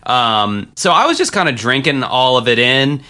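An American man talks through a movie in loose, casual podcast chat, often restarting his sentences and trailing into fillers. His voice is bright and forward, with a clean, clear tone. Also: mid-range voice, big pitch swings, moderate pace. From United States. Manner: rambling, many restarts and fillers. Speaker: film podcast co-host. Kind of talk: casual film review chat.

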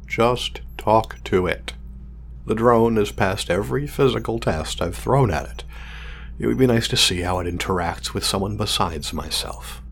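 A man playing a proud, exacting craftsman of android companions in an audio drama, speaking in a formal, measured, condescending way. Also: on the low side. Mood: proud, instructive. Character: pompous male craftsman of artificial companion drones, exacting, proud. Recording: clear background noise, sci-fi lab ambience.

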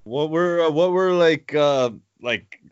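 A male podcast host asks his guest questions in a relaxed, unhurried way, with small filler pauses. His voice is smooth and clear, recorded dry and close. The audio is a bit dull at the top end. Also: on the high side, no room sound. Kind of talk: casual interview.

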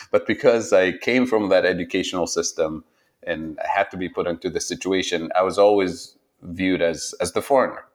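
Deep-voiced man recounting memories. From Tunisia. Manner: reflective, halting, laughs often. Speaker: interviewee. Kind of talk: personal storytelling interview.

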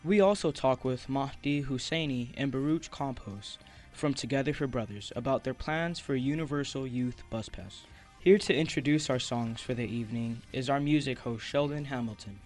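A man's voice: mid-range voice, normal speed, darkish tone, soft background music. A man hosting a radio show, reading scripted introductions of segments and guests. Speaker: radio host.

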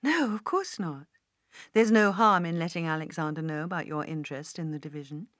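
A woman's voice: low voice, fairly quick, fairly bright tone, dry recording, studio quality. A woman reading an audiobook as a poised, decisive businesswoman, articulate and assured, with a touch of disdain. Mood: measured. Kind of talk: audiobook character dialogue.

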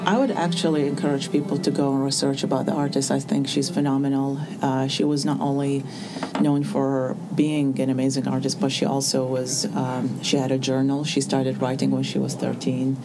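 A woman, speaking as an artist in an interview and pausing often with fillers. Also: rumbling low, level tone. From Iraq. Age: 40s. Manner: hesitant, with many pauses and fillers. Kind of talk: reflective interview answers. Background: soft music underneath.

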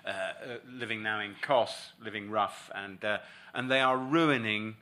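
A man hosting a panel discussion, talking in halting starts with sarcastic mock outrage. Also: fairly low pitch, pushed and bright.

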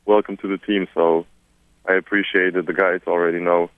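A young man from Serbia answers questions in English on a conference call, stopping and restarting his phrases. His voice sits a little low and comes through a thin, dry phone line. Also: little pitch movement, dry recording. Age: 20s. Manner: halting, many repeated words. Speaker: drafted basketball player.

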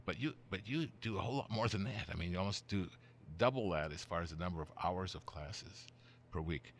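A man's voice: sits low, brisk, slight background noise. An older man hosting a podcast interview, chatty and rambling, chuckling now and then and restarting his sentences. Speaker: podcast host. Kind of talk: casual interview.

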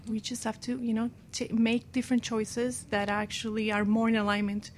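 A woman guest on a talk show, speaking personally and conversationally in a halting way. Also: fairly high pitch, completely flat delivery, slight background noise.

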